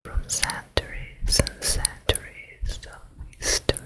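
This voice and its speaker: A woman as a slowly whispering, vain fairy-tale queen. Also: lightly acted. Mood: vain, soothing. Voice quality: breathy whisper. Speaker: ASMR performer. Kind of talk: ASMR roleplay.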